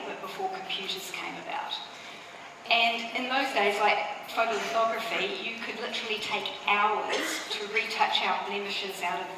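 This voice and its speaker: A woman's voice: medium pitch, noisy background. A middle-aged woman with a New Zealand accent giving a conversational conference keynote with fillers and asides, recorded in a large hall. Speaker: conference speaker. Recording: echoey hall, distant from mic.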